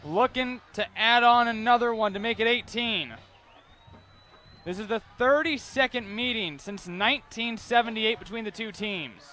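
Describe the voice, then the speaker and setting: A young man with a high-pitched voice calls a game live as the play-by-play announcer. He follows each play as it unfolds, in the manner of an amateur sports broadcast. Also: normal speed, pushed and bright.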